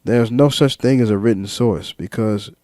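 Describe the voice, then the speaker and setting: A man talking freely to his viewers in a reflective monologue, slow and deliberate, listing ideas one word at a time with some fillers and repeats. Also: medium pitch, warm tone, no room sound, studio quality. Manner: lists ideas in measured single words. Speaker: vlogger.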